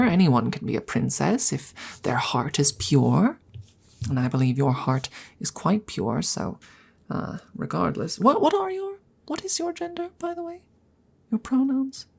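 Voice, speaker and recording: Woman as a hushed, low, breathy, courteous dragoness. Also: heavily acted. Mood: earnest, gentle. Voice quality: breathy, soft, often slipping into whisper. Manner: formal, courteous, hesitant with flustered stammers. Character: polite, awkward, earnest female dragon seeking a princess. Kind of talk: intimate ASMR roleplay, partly whispered.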